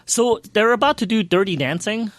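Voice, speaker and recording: A man's voice: high-pitched, animated, moderate pace, fairly bright tone, no echo. A man chatting casually as a podcast co-host, enthusiastically recounting an experience in a rambling way, often repeating his words.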